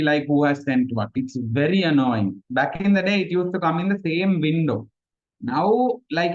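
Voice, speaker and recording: A man's voice: fairly high pitch, lo-fi sound. A man, a Tamil speaker, giving a casual online revision class, chatty and self-mocking. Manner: chatty, mixes in Sinhala, laughs at himself. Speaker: tutor. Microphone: video call.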